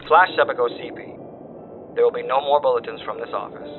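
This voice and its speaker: A man's voice: mid-range voice, fast talker, narrow, tinny audio. Phone-thin radio voice of a doomed wireman, acted by a man. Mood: grim dread. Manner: solemn, theatrical, formal phrasing. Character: doomed male wire operator reporting horrors, terrified yet compelled. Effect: radio filter. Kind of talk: dramatic horror story dispatch reading. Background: music.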